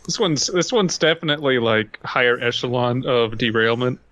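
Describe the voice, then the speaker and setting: A man's voice: medium pitch, moderate pace, fairly bright tone, no echo, muffled recording. A man co-hosting a comedy podcast, bantering casually in a sardonic, sweary way. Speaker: podcast co-host.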